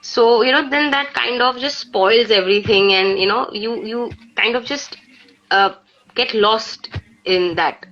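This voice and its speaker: A woman with an Indian accent speaking reflectively on a live poetry session, in a halting way peppered with "you know". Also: a little high, a bit bright, slight background noise. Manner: halting, peppered with 'you know'. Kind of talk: casual reflective chat and poetry recitation. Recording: dull lo-fi live stream audio.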